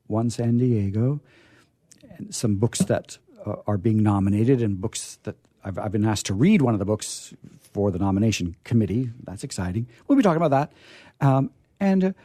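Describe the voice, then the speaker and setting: A man hosting a radio program in a casual, chatty way, halting and often repeating his words. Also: on the low side, mellow, dry recording. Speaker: radio host.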